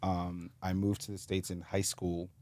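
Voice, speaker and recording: A man's voice: low voice, level tone, a little slow, darkish tone, no echo. A man in his thirties from Zambia and South Africa, telling personal stories casually and jokingly as a podcast guest. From Zambia and South Africa, moved to the US in high school. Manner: informal, joking, frequent "like". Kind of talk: casual conversational storytelling.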